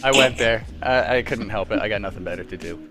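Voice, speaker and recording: A man's voice: fairly low pitch, fast, music in the background. A young man hosting a livestream in casual, jokey, self-deprecating group chat. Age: young adult. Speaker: host of a live script-reading stream.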